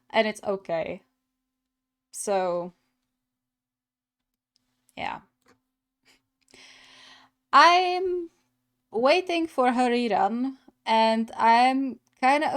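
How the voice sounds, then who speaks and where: A young adult woman giving casual, musing reaction commentary as a gaming host. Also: on the high side.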